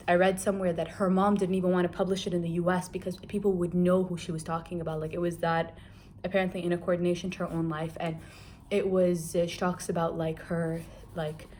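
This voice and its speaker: A woman's voice: fairly low pitch, a little mellow, slight background noise. Chatty young woman reviewing books. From Kuwait. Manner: chatty and candid, heavy use of filler "like". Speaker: book reviewer. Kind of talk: casual book review vlog.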